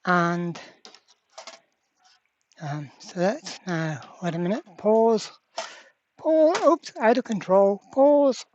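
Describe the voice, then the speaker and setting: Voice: mid-range voice, slow pace, pauses often, a little mellow, lo-fi sound, slight background noise. A repair vlogger giving casual, hands-on narration, thinking aloud in a blunt, exasperated way. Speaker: host of a radio repair channel.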